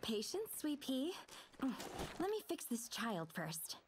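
Eccentric doting game mechanic woman: high voice, wildly swooping, cooing, slow delivery, busy background sound. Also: wildly swooping pitch, exaggerated voice. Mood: fond, patient. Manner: breathy gasps, cooing, swooning. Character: doting, eccentric female mechanic who adores machines. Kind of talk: video game character acting.

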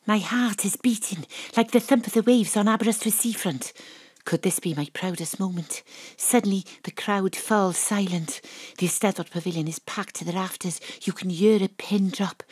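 A woman's voice: mid-range voice, normal speed, darkish tone, slightly rough, no room sound, close to an everyday voice. A woman with a Welsh accent playing a proud, self-dramatizing detective who narrates theatrically in an audio drama. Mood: grand, suspenseful. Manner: theatrical, savouring each moment. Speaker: actor.

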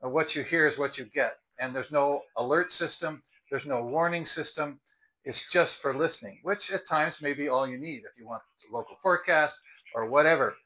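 A man's voice: a little high, moderate pace, bright, forceful tone, low-quality recording. An American man in his sixties explaining products to camera in a conversational, emphatic, unscripted way. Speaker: product review host. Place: outdoors on a house porch by the front door.

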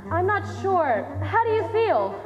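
A young American woman playing a dry-witted, protective scientist on stage, projecting her lines in a measured, wry way. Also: extremely high pitch, very animated, muffled recording, heavily acted. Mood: composed, wry. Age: young adult. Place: theater stage, distant camera. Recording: reverberant stage hall, music behind.

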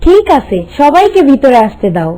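A woman's voice: sits high, sing-song, normal speed, warm tone, a little hiss or hum. A woman voicing a kind, humble housemaid made queen in a cartoon, plain-spoken with a rural Bangladeshi accent. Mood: caring, decisive. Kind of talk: cartoon voice acting.

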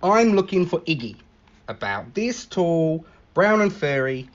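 A man reading a picture book aloud in an expressive, animated manner, acting out the exclamations. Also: fairly high pitch, unhurried, dull audio. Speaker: library staff story reader.

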